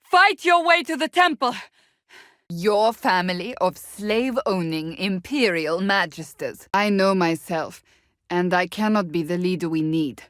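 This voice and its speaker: A stern, devout warrior woman from a fantasy video game. Her voice sits on the low side, in a very dry recording. She speaks formally and bluntly, with righteous conviction. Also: lively intonation, measured pace, fairly bright tone, no echo. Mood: urgent shouting, then solemn, firm. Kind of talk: dramatic fantasy game acting.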